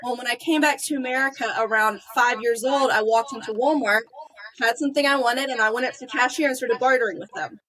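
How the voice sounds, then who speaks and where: High-voiced chatty female podcast host. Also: fairly even intonation, quick pace, fairly bright tone, rough recording. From Macedonia. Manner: chatty, tells anecdotes with acted quotes. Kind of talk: podcast interview.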